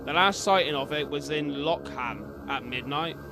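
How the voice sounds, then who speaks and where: A man's voice: on the high side, moderate pace, vocal fry, music in the background. A British man doing informal live reaction commentary as a streamer, reading captions aloud and adding chatty asides. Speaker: streamer reacting to horror videos.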